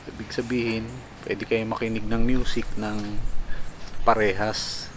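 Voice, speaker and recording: A man's voice: fairly low pitch, level tone, a little slow, background noise. A man with a Filipino accent narrating a casual product demo as a motorcycle vlogger, informal and explanatory. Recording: music playing behind the voice. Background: loud music.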